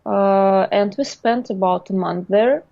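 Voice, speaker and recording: Woman, Russian accent, slow, gravelly, fillers, dull dry recording. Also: mid-range voice, no echo. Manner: hesitant, many fillers, soft laughs. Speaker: interview guest, artist. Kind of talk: casual interview chat.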